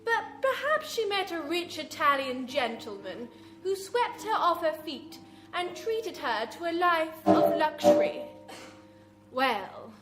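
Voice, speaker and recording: A sinister horror radio hostess, high and swooping, drawing out her words. She is theatrical and teasing, full of mock sympathy, with music underneath. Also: big pitch swings, takes it slowly, heavily acted. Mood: teasing, ominous. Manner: theatrical, teasing, ominous warnings to listeners. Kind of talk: classic horror radio hosting, live.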